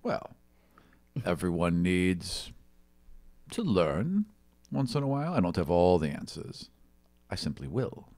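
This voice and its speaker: A man's voice: low voice, sing-song, slow, pauses often, a little mellow, heavily acted. A man voicing a vain, competitive, self-assured fantasy student with a pompous manner in a tabletop game.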